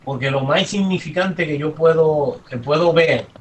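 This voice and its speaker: A man's voice: on the high side, normal speed. A man with a Dominican accent giving an impromptu religious testimony on a group call, emphatic and repetitive, often restarting his sentences. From Dominican Republic. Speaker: congregant giving comments. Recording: distant from the microphone.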